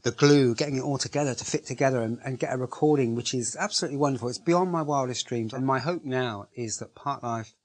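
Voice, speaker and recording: Male organiser, mid-pitched, earnest, emphatic testimonial delivery. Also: fast, few pauses. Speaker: project organiser. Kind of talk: interview testimonial.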